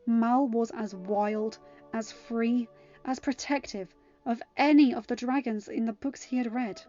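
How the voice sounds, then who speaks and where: A woman's voice: on the high side, fairly even intonation, measured pace, warm tone. A woman narrating a story from a script, as in an audiobook. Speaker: podfic narrator. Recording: dull lo-fi home recording, music underneath. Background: music.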